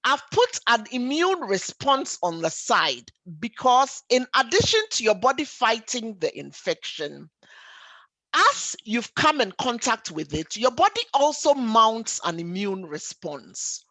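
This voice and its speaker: A woman's voice: a little high, sing-song, unhurried, fairly bright tone, no echo, poor audio. A woman doctor presenting a health webinar, explaining things for lay listeners in an emphatic, didactic way, repeating key points and asking rhetorical questions. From Ghana or the Caribbean.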